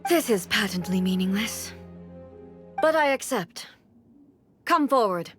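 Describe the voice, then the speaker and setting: A woman playing a stern, imperious ruler in a video game, speaking formally and with measured command. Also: mid-range voice, animated, lightly acted. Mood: solemn, commanding. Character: stern, imperious female ruler of a military nation. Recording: music behind the voice.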